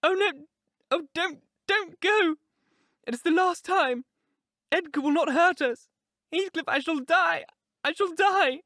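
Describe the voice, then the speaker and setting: A man's voice: very high voice, lively intonation, a little slow, fairly bright tone, dry recording, clean studio sound, voice pushed up, exaggerated voice. A man voicing a desperate, impassioned young woman who pleads and shrieks in an audiobook drama. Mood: hysterical pleading. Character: desperate, sobbing young woman in love, wildly clinging.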